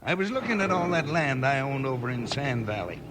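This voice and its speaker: An actor playing a sly, smug land swindler in a 1950s western radio drama, smooth-talking and scheming. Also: male voice, mid-range voice, very animated, brisk, close to an everyday voice. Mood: scheming, self-satisfied. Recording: faintly noisy old radio recording.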